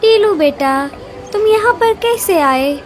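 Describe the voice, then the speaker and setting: A woman voicing a poor, gentle mother bird in a children's cartoon, earnest and careworn. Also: extremely high pitch, animated, slow pace, a little hiss or hum, full character voice. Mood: grateful. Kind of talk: animated children's story dialogue.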